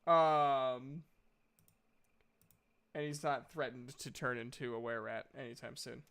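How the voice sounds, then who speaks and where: A man acting as game master in a casual tabletop roleplaying session, thinking aloud in a halting way as he works out dice and rules. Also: medium pitch, little pitch movement, a bit bright.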